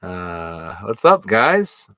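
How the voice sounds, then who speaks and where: A young man co-hosting a casual live stream, relaxed and joking as he rambles through memories. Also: sits low, drawn-out words. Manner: laid-back, joking, rambling.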